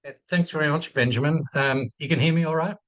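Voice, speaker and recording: A man's voice: fairly high pitch, fast, a bit bright, no echo. A man in his fifties with an Australian accent, speaking unscripted on a video call with many ums and restarts. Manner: hesitant, many fillers and restarts. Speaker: infectious disease physician, program director. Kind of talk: unscripted talk in a webinar.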